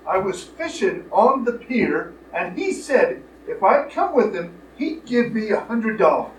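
A man's voice: high-pitched, very animated, measured pace. A man playing a befuddled, plaintive small-county justice of the peace in a comic radio play, whining as he talks. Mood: indignant. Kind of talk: live radio play acting. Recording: echoey, distant stage recording with noise.

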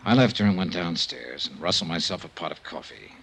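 An actor playing a world-weary, wry but kindly investigator in an old-time radio drama, terse and understated. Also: male voice, sits low, animated, fairly quick, vocal fry, fairly bright tone, faint music behind, heavily acted. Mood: somber, narrating. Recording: faintly noisy old radio recording.

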